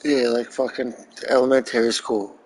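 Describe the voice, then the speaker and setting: A man's voice: mid-range voice, flat delivery, moderate pace, darkish tone, breathy edge, rough recording. A man chatting casually over a video game, swearing freely and rambling through crude jokes. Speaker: streamer reacting to a video game. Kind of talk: casual profane gameplay chat.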